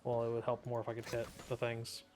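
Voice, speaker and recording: A laid-back male streamer who chats casually and jokes in slang while he plays. His voice sits at a mid pitch, and soft game music plays in the background. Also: completely flat delivery, fast talker. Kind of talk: casual gameplay commentary.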